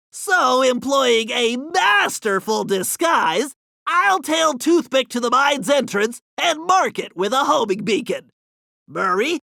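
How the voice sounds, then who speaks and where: A man voicing a nerdy, brainy and anxious turtle strategist in an animated video game, with a nasal voice and precise, earnest, wordy delivery. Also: extremely high pitch, vocal fry, dry recording, studio quality, full character voice.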